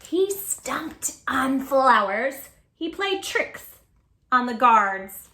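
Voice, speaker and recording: A woman hosting a children's read-aloud, speaking in an animated, emphatic way straight to small children. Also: sits high, very slow. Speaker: children's storytime host and tutor. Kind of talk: children's read-aloud and chat to young viewers.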